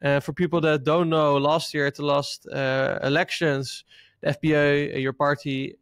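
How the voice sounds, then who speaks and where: A man's voice: a little high, flat delivery, normal speed, smooth, dry recording, clean studio sound. A man with a Dutch accent, a Dutch speaker hosting an interview in English, asking friendly questions with slight hesitations. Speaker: interviewer, host. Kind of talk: casual interview.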